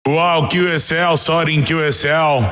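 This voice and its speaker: A Brazilian man, a native Portuguese speaker, making ham radio calls in effusive, repetitive, halting English. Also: fairly high pitch, fairly even intonation, bright, forceful tone. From South America. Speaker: amateur radio operator. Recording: echoey, long reverb voice audio.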